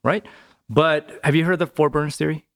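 A man talking as a podcast guest in a relaxed, chatty way, often tagging his points with a quick question. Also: fairly high pitch. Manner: relaxed, chatty, tags sentences with "right?". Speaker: podcast guest, business owner. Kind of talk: casual conversational interview.

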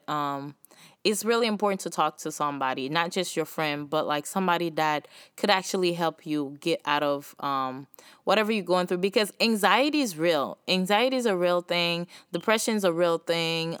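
A young woman born in Haiti talks earnestly about faith and mental health in a loose, casual way, full of little fillers. Her voice is smooth and clear, a bit low for a woman, and recorded close and dry. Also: a bit bright, dry recording. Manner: earnest, informal, many fillers. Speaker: speaker sharing faith and mental health advice. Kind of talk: casual faith-based talk.

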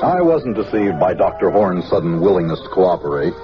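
An actor playing a dutiful, earnest army officer in an old-time radio drama, formal and measured. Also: male voice, low-pitched, very animated. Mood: measured, narrating. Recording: dull vintage radio recording with music.

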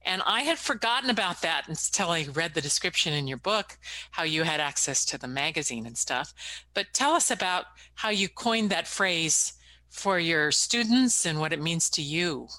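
Low, bright-voiced woman podcast host. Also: expressive, moderate pace, no echo, low-quality recording. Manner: warm, chatty, shares own stories. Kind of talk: interview hosting.

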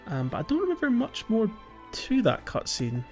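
A man's voice: sits high, wildly swooping pitch, normal speed. Hesitant man on a dull microphone. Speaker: podcast co-host. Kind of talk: casual chat about a video game. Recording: dull lo-fi microphone, faint music.

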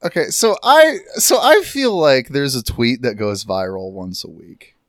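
A man in his twenties talking in an animated, self-mocking way in a casual podcast chat. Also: mid-range voice, dry recording, studio quality. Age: 20s. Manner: animated, self-mocking, quick to put on voices.

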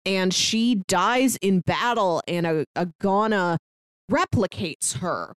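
A woman's voice: mid-range voice, lively intonation, slow pace, clear, resonant tone, no echo. Casual woman podcaster with a dry, close sound. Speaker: podcast co-host. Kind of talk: casual book discussion chat.

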